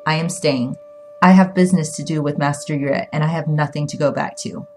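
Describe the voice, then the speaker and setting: A woman's voice: deep voice, steady tone, a little fast, soft background music, natural voice. A woman voicing a self-serving, scheming young Chinese man in an audiobook, evasive and defensive. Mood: guarded.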